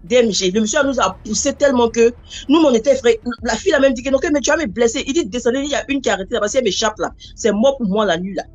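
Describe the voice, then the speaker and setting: A woman telling a personal story in a rambling, animated way, acting out the voices she quotes. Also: fairly high pitch, very fast, soft background music. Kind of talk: casual spoken storytelling.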